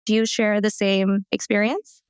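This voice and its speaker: A woman's voice: medium pitch, little pitch movement, measured pace, clear, resonant tone, dry recording, clean studio sound. A young American woman hosting a podcast interview in a casual, slangy, affirming way, asking questions conversationally. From Texas, United States.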